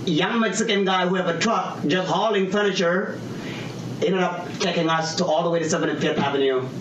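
Halting man, far and echoey. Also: high voice, steady tone, dull audio. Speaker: guest. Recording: distant, echoey with clear background noise.

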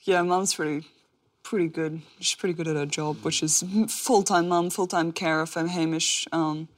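A woman's voice: deep voice, fairly even intonation, normal speed. A woman, answering interview questions in a chatty, self-deprecating way with lots of fillers. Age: 20s. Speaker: interview guest, athlete.

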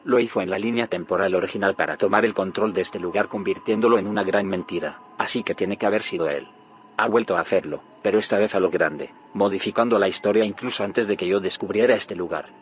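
An actor playing a grave, secretive scientist in an audio drama, solemn and explanatory. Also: male voice, deep voice, fast talker, natural voice. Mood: grave, convinced. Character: grave, secretive male scientist haunted by failed timelines. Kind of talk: audio fiction drama acting.

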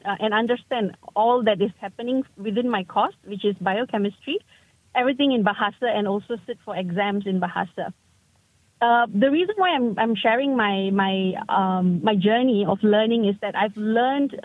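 A woman in her thirties calling in to a radio show, speaking in a halting, thoughtful way as she searches for her words. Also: a little high, no room sound. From Malaysia. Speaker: caller. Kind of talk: call-in radio chat. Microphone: phone. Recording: tinny phone line.

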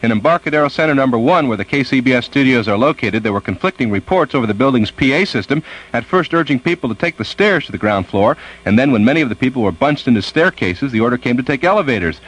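Male American radio reporter, fast-moving scripted news delivery. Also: medium pitch, lively intonation, a bit bright, poor audio.

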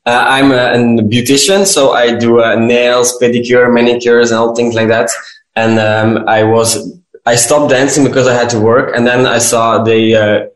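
A young man with a Belgian accent talks as a guest in an interview. His mid-pitched voice stays very even, heard in a clearly echoey room. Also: moderate pace. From west Belgium. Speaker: podcast guest, dancer and beautician. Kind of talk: interview answers.

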